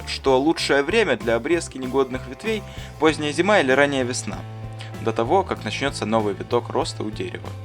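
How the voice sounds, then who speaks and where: A man's voice: medium pitch, lightly acted. Guy playing a kindly male teacher in a cartoon, earnest n bookish, explains stuff, music under it. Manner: earnest, didactic, bookish phrasing. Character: thoughtful, kindly male schoolteacher who talks like a gardener. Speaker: teacher answering interview questions. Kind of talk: measured explanatory narration in an animated film. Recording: voice over clear background music.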